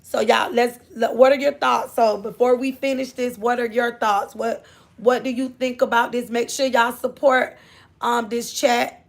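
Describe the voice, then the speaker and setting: Gravelly high-voiced Southern woman streamer. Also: fairly even intonation, measured pace. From Florida. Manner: chatty, drawling, scolds her kids off-mic. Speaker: livestream host. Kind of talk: casual live chat.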